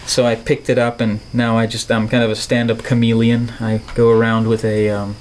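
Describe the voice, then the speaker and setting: A man's voice: mid-range voice, completely flat delivery, fairly quick, a little mellow, slight background noise. A middle-aged man from the Netherlands with a neutral American accent, talking reflectively and playfully as an interview guest, with wordy turns of phrase. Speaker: podcast guest, artist and filmmaker.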